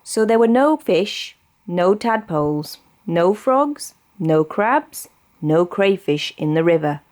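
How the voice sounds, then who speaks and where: A woman with a British accent narrating a children's storybook expressively, with deliberate phrasing. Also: fairly low pitch, expressive, darkish tone. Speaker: storybook reader.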